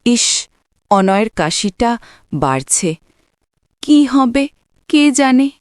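Woman acting an anxious, caring cartoon mother, high-voiced. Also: very animated, slow pace, darkish tone, clear, resonant tone, dry recording, clean studio sound. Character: worried, caring wife and mother in poverty. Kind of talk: dramatised cartoon dialogue.

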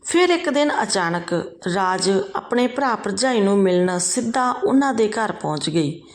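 A woman's voice: mid-range voice, expressive, measured pace. A woman reads a scripted story as a storyteller.

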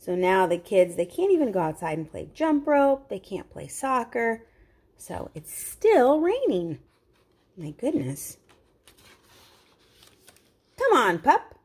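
A woman's voice: on the high side, sing-song, slow, long pauses, a little mellow, husky. A woman reading a picture book aloud to young children, expressively, with simple explanatory asides. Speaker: children's read-aloud host.

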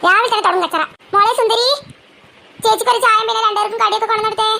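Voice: extremely high pitch, fairly even intonation, racing, vocal fry, pushed and bright, no echo, studio quality, heavily acted. A performer voicing an exasperated, bustling mother in a comedy cartoon, nagging and coaxing. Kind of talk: Malayalam comedy cartoon dialogue.

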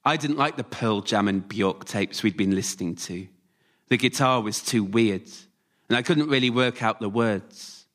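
Male storyteller in measured short phrases. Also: lowish voice, fairly bright tone. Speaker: writer-performer, storyteller. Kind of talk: scripted autobiographical storytelling, performed live. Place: radio theatre stage, before a live audience.

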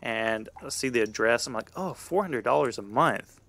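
A man in his thirties with a gravelly voice, telling a personal story conversationally as a podcast host. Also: mid-range voice, animated, normal speed, fairly bright tone.

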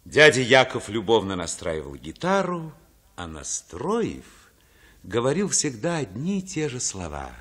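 A man's voice: medium pitch, huge pitch swings, a little slow, fairly bright tone, slightly rough, full character voice. An actor playing a grown man who recalls his childhood, narrating a literary radio play in a reflective way.

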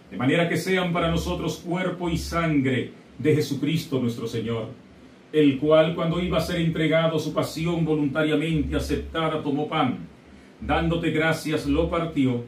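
A middle-aged man with a Dominican Spanish accent, a priest leading Mass in solemn, measured liturgical prayer. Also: fairly high pitch. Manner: solemn, measured liturgical recitation, also saying the people's responses. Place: small studio before a backdrop. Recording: roomy, slightly distant mic with faint hiss.

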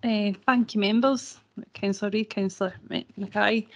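Brisk woman calling a council roll. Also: mid-range voice, steady tone, dull audio. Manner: brisk and procedural. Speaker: meeting clerk and chair calling a roll-call vote. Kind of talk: formal roll call.

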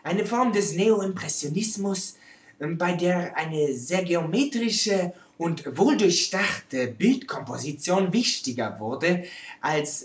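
Woman acting as a vain French male artist, French accent, slow theatrical lecturing, roomy echo. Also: on the low side. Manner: pompous, theatrical, with broken German grammar. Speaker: presenter. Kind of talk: comic in-character art history lecture. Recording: roomy, echoing amateur recording.

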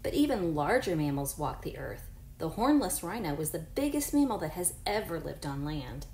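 A woman's voice: sits low, big pitch swings, moderate pace, warm tone. A woman in her forties, a teacher reading a picture book aloud to young children in an encouraging way, with chatty asides. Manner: encouraging, addresses unseen children with questions. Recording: roomy echo, faint background noise.

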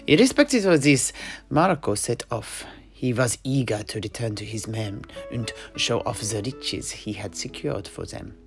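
A man's voice: medium pitch, expressive, measured pace. A man playing a mercenary knight who recounts his grandfather's adventures in a hesitant, conversational way for a tavern audio play. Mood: measured storytelling. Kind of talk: in-universe storytelling audio play. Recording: music behind the voice.

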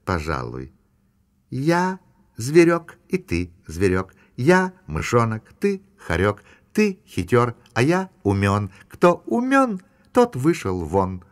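A man voicing a small, quick and clever young mouse in a children's audio storybook, with a playful, bouncy delivery. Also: medium pitch.

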